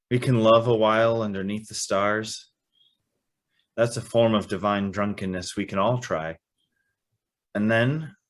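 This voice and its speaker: An actor playing an earnest, wistful young man in a radio drama, measured and reflective with halting phrases. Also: on the low side. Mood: wistful, resigned. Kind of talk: radio drama acting and narration.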